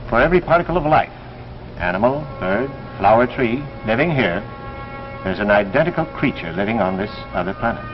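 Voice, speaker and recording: An actor playing a solemn, authoritative doctor who heads a secret scientific committee in a 1950s science fiction drama, formal and deliberate. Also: male voice, medium pitch, lively intonation, lightly acted. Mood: grave, emphatic. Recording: dull vintage 1950s broadcast, music behind.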